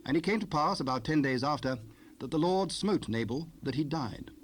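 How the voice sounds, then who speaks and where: A man narrating King James scripture in a measured, formal storytelling manner. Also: on the high side, lively intonation. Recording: unprocessed cassette rip with noise.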